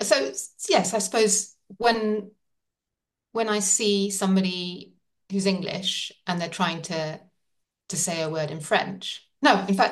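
A woman's voice: on the low side, distant mic. A woman in casual conversation, talking thoughtfully with frequent fillers and restarts.

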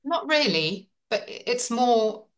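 A woman's voice: a little high, very animated, slow, far from the mic. A woman in casual conversation, talking thoughtfully with frequent fillers and restarts.

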